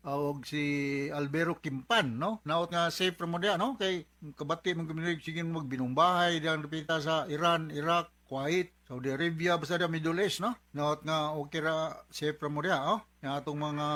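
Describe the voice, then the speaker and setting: A man's voice: fairly high pitch, a bit bright. A man with a Cebuano accent, a doctor hosting a radio advice program conversationally, repeating phrases and often adding little tag questions. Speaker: host, doctor giving advice.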